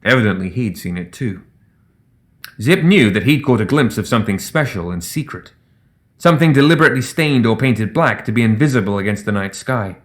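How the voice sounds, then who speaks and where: A man's voice: on the low side, animated, brisk. A man reading scripted fiction as an audiobook narrator.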